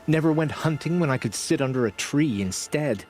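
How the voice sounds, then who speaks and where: An actor playing a humble, remorseful outcast tribesman in a video game, speaking in an earnest, halting, solemn way. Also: on the high side, lively intonation, darkish tone, close to an everyday voice. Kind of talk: video game character acting.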